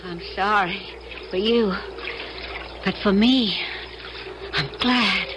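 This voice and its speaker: A tender, spirited young woman spy, played by an actress in a 1970s radio play. She takes her words very slowly, with clear water noise around her. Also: mid-range voice, natural voice. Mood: tender, frank. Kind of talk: 1970s radio drama acting.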